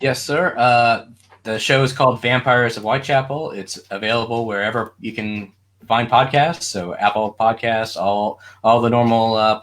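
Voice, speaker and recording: Man, average pitch, casual panel guest, video call. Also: fairly bright tone. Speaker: panelist, audio drama maker.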